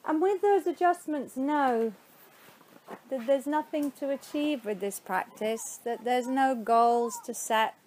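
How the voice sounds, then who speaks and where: Woman, meditation guide, higher-pitched voice, soothing unhurried phrasing, long pauses, faint background noise. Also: a little mellow. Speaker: yoga nidra teacher.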